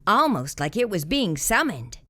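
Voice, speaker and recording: A woman reading a children's picture book aloud as a storyteller, in an animated way with theatrical emphasis. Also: mid-range voice, moderate pace.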